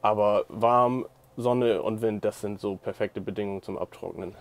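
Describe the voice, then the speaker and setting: A man's voice: lowish voice, steady tone, moderate pace. A man in his twenties from northern Germany, a service technician, speaking in a plain, matter-of-fact way with filler words. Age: 20s. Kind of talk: casual interview answers.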